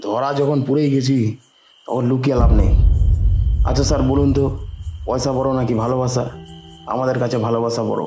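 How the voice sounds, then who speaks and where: A man's voice: medium pitch, fairly even intonation, normal speed, long pauses, lightly acted. A man playing a defiant, earnest adulterous lover in an audio drama. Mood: defiant, bitter. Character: defiant adulterous lover, village firework maker's accomplice. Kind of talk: dramatised audio story acting. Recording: echoey, loud music behind.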